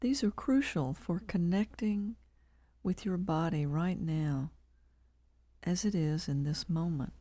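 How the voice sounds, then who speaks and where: A woman leading a guided body scan meditation, calm and measured, leaving long pauses between short phrases. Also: fairly low pitch, no echo.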